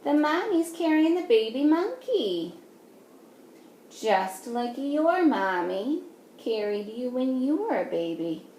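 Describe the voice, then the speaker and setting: A woman teacher reading a picture book aloud to young children, patiently, in a sing-song way, pausing to ask them simple questions. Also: high-pitched, very animated, darkish tone. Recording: echoey room with faint noise.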